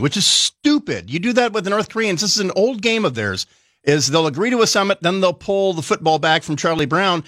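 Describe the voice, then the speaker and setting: A man's voice: sits high, bright, forceful tone, no echo, clean studio sound. Blunt sardonic man on talk radio. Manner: blunt, sardonic, restarts phrases. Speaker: guest military analyst. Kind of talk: talk radio guest commentary.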